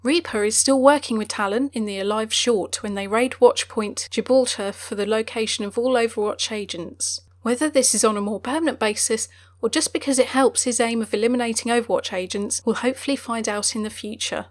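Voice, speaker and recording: A woman's voice: on the high side, brisk. A woman reading scripted explainer narration as the presenter of a game lore video.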